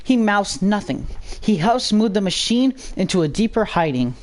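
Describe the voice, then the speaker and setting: A woman's voice: mid-range voice, lively intonation, moderate pace, a little mellow. A woman reading a scripted story aloud as a dramatic narrator.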